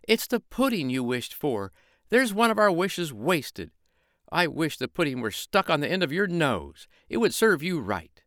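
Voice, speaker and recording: A man doing a shrill, scolding peasant wife. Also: sing-song, measured pace, a bit bright, dry recording, clean studio sound. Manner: shrieking, scolding.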